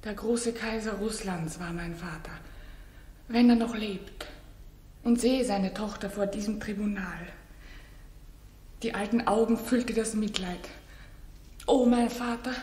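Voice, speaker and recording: A woman's voice: medium pitch, unhurried, long pauses, dark tone, lightly acted. An actress playing a proud, anguished queen on trial with solemn, declamatory delivery in a 1960s German radio drama. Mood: sorrowful. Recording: echoey 1960s radio studio recording.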